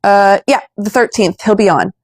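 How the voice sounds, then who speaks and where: A woman's voice: mid-range voice, moderate pace, dry recording, clean studio sound. A woman in her forties hosting a political interview from home, speaking emphatically and persuasively. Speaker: podcast host.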